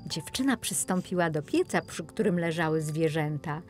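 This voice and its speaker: A woman narrates an audiobook fairy tale for children. Her voice sits a little low, with soft music playing behind it. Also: lively intonation, moderate pace.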